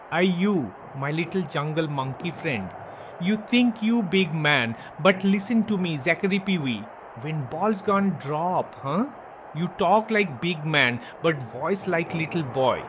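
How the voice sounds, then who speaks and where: A man's voice: animated, slow pace, background noise. Man playing a brash wrestler with a Bangladeshi accent. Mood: mocking. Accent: Bangladeshi English. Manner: broken English, taunting, swings from yelling to low purring. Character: boastful male wrestler, self-proclaimed king, brash and crude. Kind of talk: wrestling promo acting.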